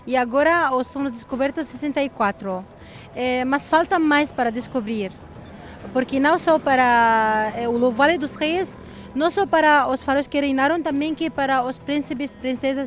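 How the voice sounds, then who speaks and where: An Egyptian woman working as a tour guide outdoors, explaining history in halting learned Portuguese, with Arabic as her first language. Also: sits high. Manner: halting non-native phrasing, explanatory. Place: outdoors at an ancient temple.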